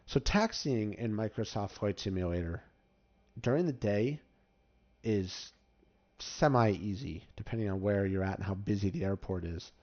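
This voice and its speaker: A man with a fairly low voice walks through a game as tutorial host, casual and instructional. The recording is a little dull. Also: animated, normal speed, darkish tone. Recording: slightly dull, muffled microphone.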